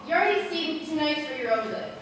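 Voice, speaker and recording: Very echoey and noisy, this is a young woman playing a curious, wry forest wanderer on stage. Her high voice reaches the mic from a distance, with the manner of a school drama. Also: animated, fast talker. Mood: friendly, probing. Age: teens or young adult. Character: curious, wry, searching girl who comforts forest visitors. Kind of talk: school stage play acting.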